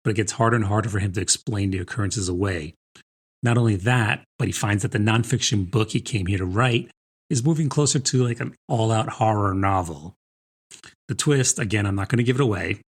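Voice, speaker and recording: A man's voice: lowish voice, breathy edge. A man co-hosting a book podcast, talking in an informal, conversational way in a casual review chat. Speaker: podcast co-host discussing books.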